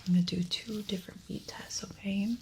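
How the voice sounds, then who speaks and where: A woman whispering softly and breathily in an ASMR medical roleplay, gently giving reassuring step-by-step instructions close to the mic. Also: medium pitch, steady tone, brisk, poor audio. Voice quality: soft, breathy, mostly unvoiced whisper. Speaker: ASMR creator playing a hearing examiner.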